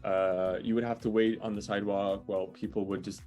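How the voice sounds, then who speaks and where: Young male interview guest, low-pitched, halting, on a video call. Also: level tone, a little mellow, soft background music. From born in the US, raised in France. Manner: hesitant, many fillers and restarts. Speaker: guest, digital nomad. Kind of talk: casual interview chat.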